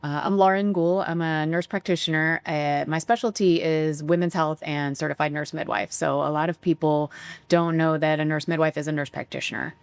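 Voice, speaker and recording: A woman's voice: low voice, fairly even intonation, normal speed, clear, resonant tone, no room sound. A woman, a nurse midwife guest, introducing herself plainly and matter-of-factly. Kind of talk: conversational interview answers.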